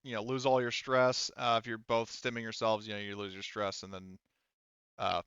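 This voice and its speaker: A man's voice: on the low side, flat delivery, normal speed, a bit bright, smooth, no echo, highs cut off. A man running a tabletop game as game master, talking casually and often rephrasing himself as he goes.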